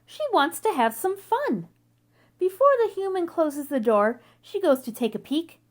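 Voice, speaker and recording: High female voice, slow pace, children's read-aloud, expressive storyteller. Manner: animated, deliberate storytelling for young children.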